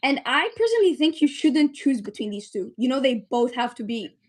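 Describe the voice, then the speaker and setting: A fairly high-voiced woman in her twenties, from the UAE, speaks as a podcast guest. She tells her story earnestly and chattily, using lots of fillers along the way. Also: very animated, brisk. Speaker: guest, medical student and startup founder. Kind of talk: interview, telling her story.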